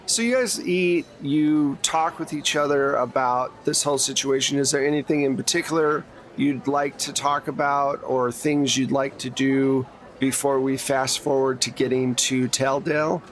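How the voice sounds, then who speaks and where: A man's voice: medium pitch, steady tone, normal speed. A man running a tabletop game as game master, narrating casually and informally with joking asides. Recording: clear background noise.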